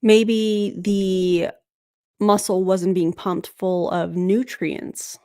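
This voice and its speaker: A woman's voice: medium pitch, little pitch movement, drawn-out words, a little mellow, clean tone, no room sound. Blunt skeptical woman commentator, dry sound. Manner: skeptical, blunt, sarcastic asides. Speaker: anti-MLM commentator reacting to a clip. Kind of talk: casual commentary livestream.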